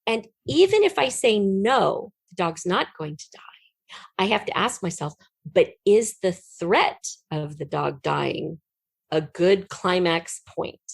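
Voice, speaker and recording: A woman's voice: lowish voice, lively intonation, takes it slowly, vocal fry, slightly rough, no room sound. A woman speaking as an interview guest and writer, explaining in a conversational storytelling way and voicing questions and thoughts aloud. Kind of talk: animated conversational interview, explaining own method.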